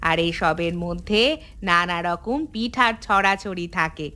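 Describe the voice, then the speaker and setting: A woman voicing a kindly old village grandmother in a cartoon, affectionate and unhurried, lingering over her words. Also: on the low side, pushed and bright. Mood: fond, reassuring. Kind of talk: Bengali cartoon voice acting. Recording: music behind the voice. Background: background music.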